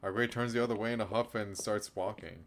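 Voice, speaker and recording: Male streamer, low-pitched, narrating visual novel text, casual commentary, faint background noise. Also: fairly even intonation, brisk. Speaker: game streamer.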